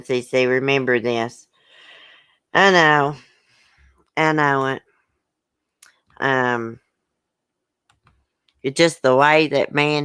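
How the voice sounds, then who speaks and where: A woman's voice: sits low, a bit bright, clean tone, dry recording, studio quality. A woman hosting a livestream, upset and hurt, bluntly venting and reading viewer comments aloud in casual, swearing speech. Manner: emotional, blunt, colloquial, swears. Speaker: YouTube channel host.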